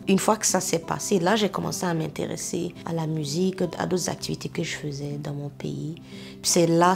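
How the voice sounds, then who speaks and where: A woman, a singer, calmly recounting how she settled into a new country, in a documentary interview. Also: on the low side, vocal fry.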